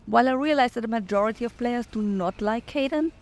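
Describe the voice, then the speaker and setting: A woman's voice: on the high side, quick pace. A woman giving her opinions in commentary on a video game playthrough.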